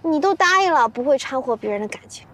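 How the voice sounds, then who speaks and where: A high-pitched young female employee in a TV drama. She is spirited and expressive, cajoling and pleading as she chatters, with faint background noise behind her. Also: quick pace. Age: 20s. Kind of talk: naturalistic TV drama acting.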